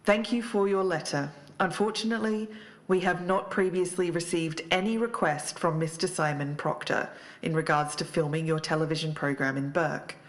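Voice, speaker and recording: A woman's voice: low-pitched, normal speed. A woman co-hosting an investigative podcast, moving between scripted narration and casual talk. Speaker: podcast co-host.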